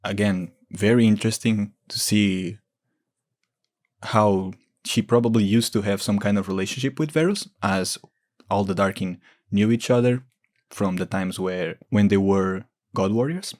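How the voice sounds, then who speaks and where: A man's voice: lowish voice, a little slow, darkish tone. A man giving informal, explanatory lore commentary as a gaming video creator.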